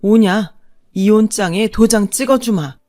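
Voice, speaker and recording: A woman's voice: medium pitch, measured pace, dark tone, soft background music, natural voice. A woman voicing a long-suffering older wife and mother, fierce, defiant and coarse-tongued, in a dramatised family story. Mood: bitter fury. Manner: blunt, biting, coarse-tongued.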